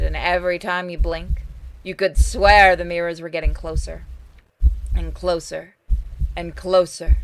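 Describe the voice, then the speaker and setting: A woman's voice: lowish voice, rough recording. A woman doing a game playthrough, switching between theatrical reading of story text and casual commentary to viewers. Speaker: gaming streamer reading game text aloud. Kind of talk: game playthrough, dramatic narration mixed with casual chat. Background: game music.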